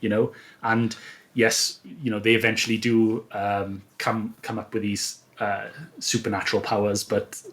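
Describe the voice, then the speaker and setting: A man speaking as a guest author in a casual interview, explaining things in a thoughtful, halting way. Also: lowish voice, level tone, a bit bright.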